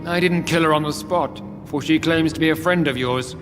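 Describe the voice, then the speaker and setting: An actor playing an imperious aristocratic lord in a video game, pompous, smug and condescending, with theatrical delivery. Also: male voice, a little high, normal speed, music in the background, lightly acted. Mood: disdainful. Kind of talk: video game drama acting. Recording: long reverberant hall.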